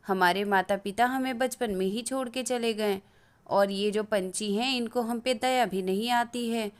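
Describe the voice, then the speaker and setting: Fairly high-pitched woman storyteller. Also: unhurried, clean tone. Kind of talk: scripted narration of children's moral story.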